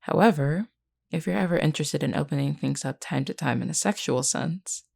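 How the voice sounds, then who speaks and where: A woman playing a flirty, frank, self-assured werewolf in an intimate, unhurried audio roleplay spoken to the listener. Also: heavily acted. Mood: frank, firm. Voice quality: low, creaky, mellow. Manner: unhurried, teasing yet earnest, deliberate.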